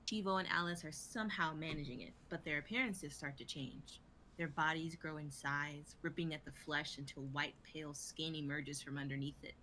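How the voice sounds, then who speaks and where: A woman's voice: low-pitched, bright, forceful tone, a little hiss or hum, rough recording. A young woman reading stage directions as scripted narration in a live drama reading. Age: young adult.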